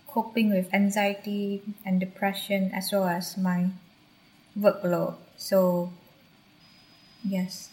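A woman's voice: medium pitch, low-quality recording. A young woman from Malaysia, candidly and reflectively recounting her university years for a podcast. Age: young adult. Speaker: university graduate guest. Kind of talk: podcast personal account.

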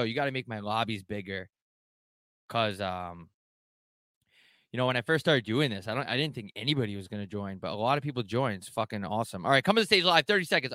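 A man's voice: mid-range voice, sharp tone, no echo, studio quality. A young man hosting a live call-in show, joking and rambling with loose, profane banter. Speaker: show host taking live callers. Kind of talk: casual rambling chat.

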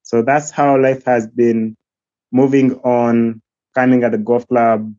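A young man with a Ugandan accent, speaking earnestly and slowly as an interview guest, often pausing to search for words. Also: mid-range voice, flat delivery, mellow, dry recording. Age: young adult. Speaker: guest, golf academy founder. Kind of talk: remote interview. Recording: dull lo-fi line, faint music.

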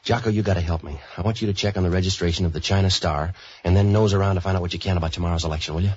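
A hard-boiled waterfront tough guy from an old radio detective show. His voice is very low and husky, the delivery deadpan and cynical, on a dull vintage recording. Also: very fast, dry recording. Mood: urgent. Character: hard-boiled, street-wise, wisecracking waterfront man for hire. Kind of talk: 1940s radio detective acting and narration.

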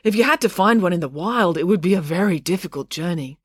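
A woman voicing an earnest academic father, a careful and hesitant plant expert, explaining in a measured way for an audiobook. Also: lowish voice, lively intonation, slightly rough, dry recording, clean studio sound. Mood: explanatory.

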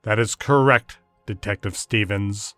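A man voicing an ominous, sneering male high priest with a cold, haughty, slow menace. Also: bright, forceful tone, no echo, soft background music. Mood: menacing.

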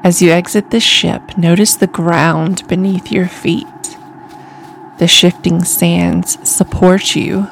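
A woman calmly reading a scripted guided imagery meditation in a soothing, deliberate way. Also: lowish voice, gravelly, a little hiss or hum, poor audio. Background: music.

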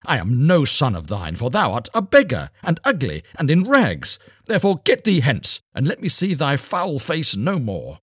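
A man's voice: lowish voice, huge pitch swings, moderate pace, breathy edge, no room sound, studio quality, voice pushed up, exaggerated voice. A man voicing a proud, cruel young boy with haughty, emphatic, archaic storybook speech. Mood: angry scorn. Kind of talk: dramatised storybook reading.

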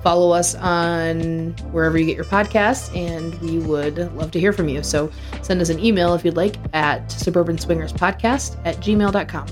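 Hoarse low-voiced woman. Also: steady tone, moderate pace, few pauses, soft background music. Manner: frank, laughs often. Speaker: podcast co-host. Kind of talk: casual chat.

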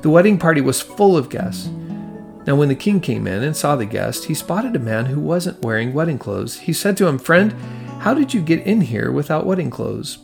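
A man's voice: on the high side, very animated, normal speed, gravelly, faint music behind. A man reading a gospel passage aloud from a script as a scripture reader.

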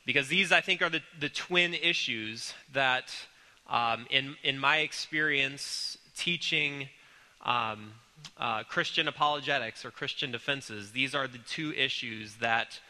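Man, congested, church class teacher, earnest and halting, very bright tone, long reverb. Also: medium pitch. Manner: earnest, halting with many ums and restarts. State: congested with a cold. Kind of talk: recorded church class lecture.

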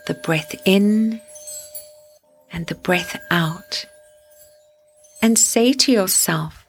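A woman hosting a guided sleep meditation, speaking gently and soothingly in deliberate phrases with long pauses. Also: mid-range voice.

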